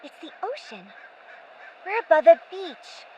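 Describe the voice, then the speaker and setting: A woman's voice: very high voice, sing-song, measured pace, dark tone, dull audio, slight background noise, heavily acted. A woman playing a bold, trusting young girl adventurer in an audio drama, eager and headstrong.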